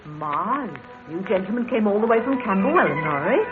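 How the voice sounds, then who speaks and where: A woman's voice: mid-range voice, animated, brisk, over loud music, close to an everyday voice. An actress playing an eager-to-help landlady who talks in a chatty, deferential way, in a tinny old radio drama recording. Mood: fussy. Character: fussy, eager-to-help older female landlady.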